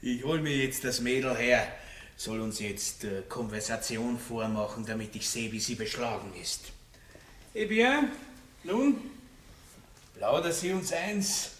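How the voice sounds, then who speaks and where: An actor playing a coarse, self-satisfied country baron in a radio play, with a Viennese accent and pompous, leering bluster. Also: male voice, medium pitch, lively intonation, a little slow, a bit bright. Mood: smug, condescending. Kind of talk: German-language radio play acting. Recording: roomy, distant, lightly noisy old radio recording.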